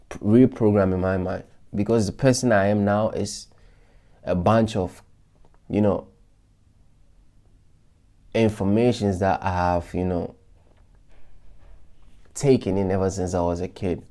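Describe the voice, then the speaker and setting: A man with a very low, gravelly voice and a dark, mellow tone talks straight to his followers. He is reflective and loose, restarting his sentences and leaning on fillers as he thinks out loud. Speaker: vlogger. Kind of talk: casual motivational talk to followers.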